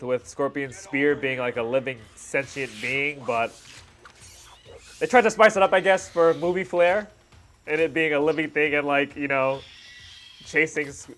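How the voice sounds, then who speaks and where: A man's voice: fairly high pitch, fairly bright tone, a little hiss or hum. A man in his thirties giving casual reaction commentary as a video host, talking like an enthusiastic fan with slangy asides.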